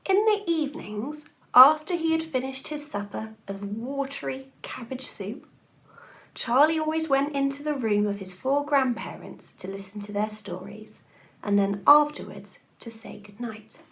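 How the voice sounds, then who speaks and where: Female storybook reader, schoolteacher type, high register, animated and expressive delivery. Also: unhurried, vocal fry. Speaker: schoolteacher reading to pupils. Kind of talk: expressive children's storybook reading.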